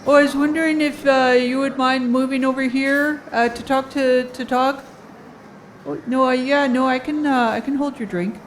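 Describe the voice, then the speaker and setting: Nervous, obliging event helper played by a woman, raised pitch, hesitant and polite, comedy audio drama. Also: fairly even intonation, normal speed, slight background noise, close to an everyday voice, low-quality recording. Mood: polite fluster. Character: flustered, obliging helper herding a crowd.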